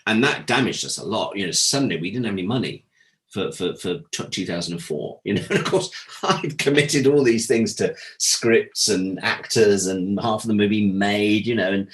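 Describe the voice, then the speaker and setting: Deep-voiced man telling candid anecdotes. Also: moderate pace. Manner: candid, acts out quoted conversations in anecdotes. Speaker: interview guest, former audio drama producer. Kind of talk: animated anecdotal interview talk.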